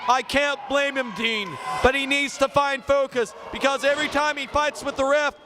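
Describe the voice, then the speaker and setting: Shouting high-voiced wrestling commentator. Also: male voice, level tone, normal speed. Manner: loud, emphatic, shouting over the crowd. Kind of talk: play-by-play commentary. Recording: noisy arena with loud crowd. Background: cheering wrestling crowd.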